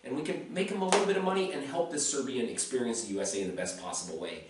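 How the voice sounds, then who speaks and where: A man's voice: medium pitch, rapid-fire, very noisy. An American man talking casually in a blunt, rambling vlog, swearing freely and a little tipsy. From USA. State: slightly tipsy. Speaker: vlogger. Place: home in Serbia. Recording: very echoey room, mic far off.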